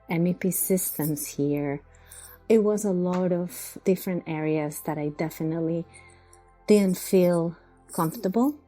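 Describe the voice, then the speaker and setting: A woman from Colombia, an architect, giving a measured, earnest account of her own path. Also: lowish voice, warm tone. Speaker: guest architect. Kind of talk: recorded personal account.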